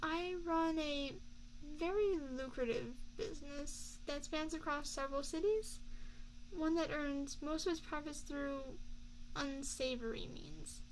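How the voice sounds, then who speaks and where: A woman playing an affectionate young mafia boss girlfriend in an ASMR roleplay, speaking in a soft, confiding, measured way close to a binaural microphone. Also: sits high, a little hiss or hum, rough recording. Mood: guarded, serious. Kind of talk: ASMR girlfriend roleplay, scripted intimate monologue.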